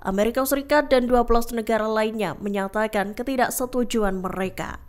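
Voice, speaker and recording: Higher-pitched woman reading news. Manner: formal, measured news-reading delivery. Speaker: news narrator. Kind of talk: scripted news voice-over narration.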